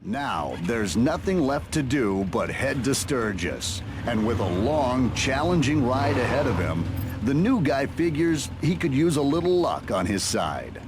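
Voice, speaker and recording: A man's voice: mid-range voice, sing-song, a little slow, noisy background. A man playing the dramatic narrator of a reality show in a video game, reading scripted narration. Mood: dramatic, steady.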